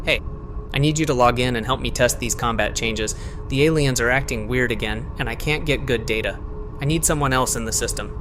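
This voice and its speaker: A man's voice: mid-range voice, fairly quick, music in the background, close to an everyday voice. A man playing an intense, irritable game programmer in an audio drama, terse and incredulous. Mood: puzzled, focused. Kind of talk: audio drama acting, muttering to himself.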